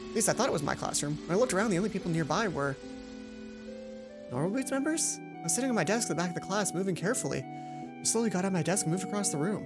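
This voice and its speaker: Low-pitched woman narrating a game over music. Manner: chatty, playful asides. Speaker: let's play host. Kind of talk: reading a visual novel aloud with casual commentary. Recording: music behind the voice.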